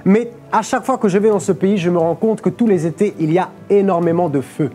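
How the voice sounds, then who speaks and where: A man's voice: sits high, brisk, dark tone, faint music behind. A young man from Portugal preaching a sermon from a studio lectern, explaining step by step and checking in with his listeners often. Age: young adult. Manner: explanatory, peppers phrases with "ok?" and "amen". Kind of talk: sermon on a live stream. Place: studio stage with a clear lectern.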